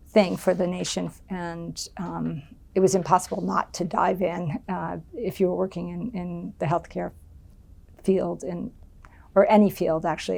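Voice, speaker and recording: Middle-aged american woman, low voice w/ some fry, lots of ums, thinking out loud. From United States. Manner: thoughtful, many ums and restarts. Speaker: interviewee. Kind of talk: oral history interview.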